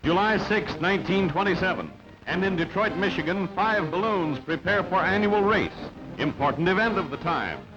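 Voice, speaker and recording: High-voiced newsreel announcer man. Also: expressive, normal speed. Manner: clipped, announcing delivery. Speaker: newsreel narrator. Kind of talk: scripted newsreel narration. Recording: dull lo-fi archival sound over loud music.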